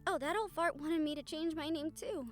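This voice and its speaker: A woman's voice: high-pitched, normal speed, faint music behind, lightly acted. A woman playing a wry, caring young woman friend in a fantasy audio roleplay, speaking casually and hesitantly, as if to one listener. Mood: candid, wry. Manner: casual, hesitant, chuckling, mildly sweary. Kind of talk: audio roleplay acting, intimate one-sided conversation with listener.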